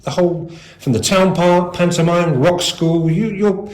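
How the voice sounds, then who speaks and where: A man's voice: high voice, unhurried, a little mellow. A man asking informal, discursive interview questions. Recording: echoey room.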